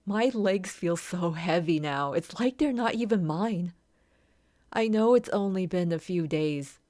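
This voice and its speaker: A woman voicing an injured, vulnerable young horse girl racer in a soft, confiding, hesitant ASMR roleplay. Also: fairly low pitch, no echo. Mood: sad, resigned. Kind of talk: ASMR roleplay, scripted character acting.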